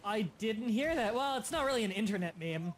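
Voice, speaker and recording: A young man hosting a casual gaming livestream, explaining game mechanics in quick bursts and sometimes stuttering. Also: high-pitched, animated, slight background noise. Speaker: livestream host. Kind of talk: casual gaming stream chat.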